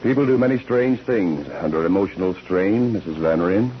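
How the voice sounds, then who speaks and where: Earnest low-voiced lawyer, old radio. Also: male voice, expressive. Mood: courteous, probing. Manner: formal, measured, courteous. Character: forceful, earnest criminal lawyer addressing clients and judge. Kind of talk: 1940s radio drama acting. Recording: dull, noisy old radio recording.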